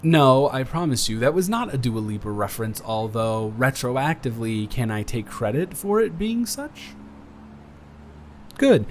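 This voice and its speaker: A young man playing an earnest, awkward college student smitten with a dormmate, rambling and halting in an intimate roleplay audio drama. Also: mid-range voice, big pitch swings, slight background noise. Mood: playful, sheepish. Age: young adult.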